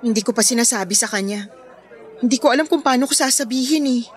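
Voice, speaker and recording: A woman's voice: a little high, quick pace, a faint crowd, natural voice. A woman with a Filipino accent playing a lovesick, stubborn bride-to-be in a radio drama, speaking in a hesitant, plaintive way. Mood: hurt. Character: lovesick, stubborn, troubled bride-to-be clinging to her fiancé. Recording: music playing behind the dialogue.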